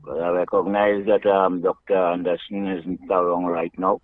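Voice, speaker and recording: A man with a Trinidadian accent calling in to a radio show, greeting in a casual but ceremonious, unhurried way. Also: sits low, flat delivery, no room sound. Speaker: radio caller. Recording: dull lo-fi call, dry.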